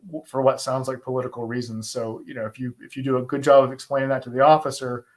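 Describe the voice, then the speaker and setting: A man's voice: mid-range voice, little pitch movement, darkish tone. Man explaining casually, American accent. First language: English. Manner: casual, conversational, thinking aloud with hesitant pauses. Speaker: host, asylum lawyer answering viewer questions. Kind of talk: informal live Q&A, explaining law.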